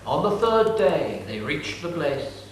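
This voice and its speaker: A man's voice: fairly high pitch, big pitch swings, slow pace, a little hiss or hum. A man narrating an ancient epic in a declamatory, solemn dramatic reading. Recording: distant mic, echoey room.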